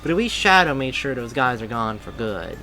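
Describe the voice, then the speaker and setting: A man voicing a lively, affectionate young woman who works as a reporter, raising his voice higher for her. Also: normal speed, soft background music, rough recording. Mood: chatty. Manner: raised, excited pitch.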